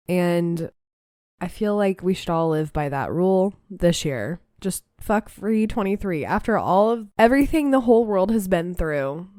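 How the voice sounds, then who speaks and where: A woman's voice: fairly low pitch, dark tone, no echo, clean studio sound. A young woman hosting a podcast, chatting casually and reading aloud, slightly nasal, laughing easily. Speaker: podcast host.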